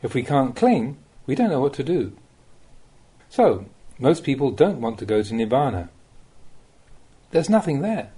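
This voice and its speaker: A man reading a Buddhist Dhamma talk aloud as a monk, in the measured, plain-spoken way of a teacher, with rhetorical emphasis. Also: medium pitch, sing-song, dark tone, slightly rough.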